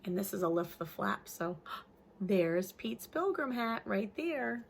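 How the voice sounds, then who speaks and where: A woman reads a picture book aloud to young children in an expressive way, adding simple, friendly-worded explanations as a teacher would. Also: mid-range voice, slow pace, vocal fry, a little hiss or hum.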